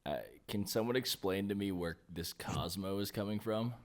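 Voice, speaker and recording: A man chatting casually on a podcast panel in a hesitant, halting manner. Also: fairly low pitch. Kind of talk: casual chat.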